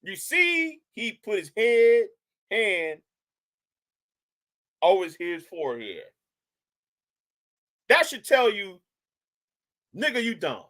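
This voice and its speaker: Animated man scolding on a live stream. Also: high-pitched, slow, pauses often. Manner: animated, repetitive, mocking, scolding. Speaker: live stream host reacting to a video. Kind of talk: reaction commentary to a live chat.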